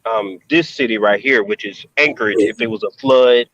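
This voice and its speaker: A man in a casual video call who talks haltingly with many pauses and restarts. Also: on the low side, a bit bright, dull audio. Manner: hesitant, many ums and restarts.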